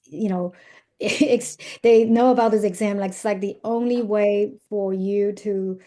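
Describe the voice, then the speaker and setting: A woman in her thirties with a Chinese accent, speaking as a podcast guest in an easygoing, earnest way. Her voice is mellow and warm, and her pitch sits in an ordinary middle range. She often fills pauses with small hesitations and restarts. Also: steady tone. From China. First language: Zhuang dialect or Mandarin. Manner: earnest, with many fillers and restarts; laughs easily. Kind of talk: casual interview chat.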